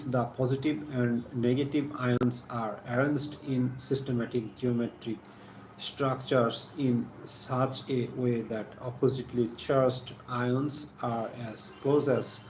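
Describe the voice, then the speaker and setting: Slow-spoken Bangladeshi man in an echoey room. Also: mid-range voice, a little mellow. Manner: halting, deliberate, repeats phrases. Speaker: science teacher hosting a live stream. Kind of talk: live online chemistry lesson, partly read aloud. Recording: echoey room with faint background noise.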